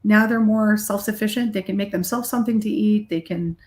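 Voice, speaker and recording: A woman's voice: medium pitch, a little fast, a little mellow. A middle-aged woman talking casually as an interview guest, candid and emphatic in a conversational way. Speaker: guest, rock guitarist and recording artist.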